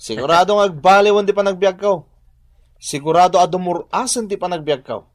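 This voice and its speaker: A man's voice: high voice, brisk, natural voice. An actor playing an ambitious, proud young man in a radio drama, speaking with an Ilocano accent. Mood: boastful. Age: young adult. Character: ambitious, proud young son scorning his poor village.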